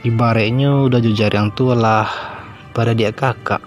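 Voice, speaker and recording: A man's voice: mid-range voice, steady tone, normal speed, over music, lightly acted. A man with a Minangkabau accent voicing a doting, sweet-talking husband in a comedy cartoon. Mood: teasing. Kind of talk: comic cartoon dialogue.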